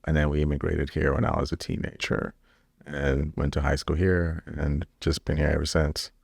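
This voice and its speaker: Founder from Kenya, middle-aged man, very low flat gravelly voice, dry close sound. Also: moderate pace, no room sound. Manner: relaxed, rambling, many fillers and restarts. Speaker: business founder. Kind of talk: interview answers.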